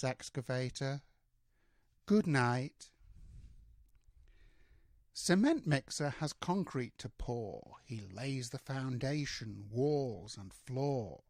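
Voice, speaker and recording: Older male storybook reader, grandfatherly, mid-pitched, very slow expressive delivery of children's rhymes, dry sound. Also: dry recording. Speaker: grandfather reading to his grandsons. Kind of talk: storybook read-aloud in rhyming verse.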